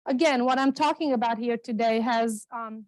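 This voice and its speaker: A woman's voice: fairly high pitch, normal speed, a little mellow, dry recording. A middle-aged woman from Romania giving a public talk as a guest speaker, chatty and self-correcting, with many ums and repeated words, speaking at a standing microphone. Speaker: guest speaker, geography professor. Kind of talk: public lecture. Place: meeting room, also streamed on Zoom. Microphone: standing microphone, not held.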